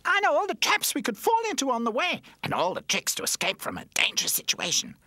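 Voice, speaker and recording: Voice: high-pitched, huge pitch swings, fast talker, pushed and bright, no echo, pitched above the performer's own voice, full character voice. A narrator voicing an eager, boastful adventurer toy in a children's story, proud and showy.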